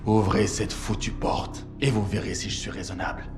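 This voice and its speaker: A man's voice: fairly low pitch, fairly even intonation, moderate pace, music in the background, close to an everyday voice. A man playing a hot-tempered, insolent soldier in a game drama, blunt and defiant. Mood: angry, defiant. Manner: defiant, blunt, foul-mouthed. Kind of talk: dramatic game acting in French.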